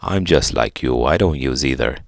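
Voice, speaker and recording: A man voicing a laid-back, furry talking chimpanzee, with a deep, gravelly voice and a matter-of-fact manner for a children's storybook. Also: expressive, moderate pace, no room sound, studio quality, heavily acted. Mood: deadpan.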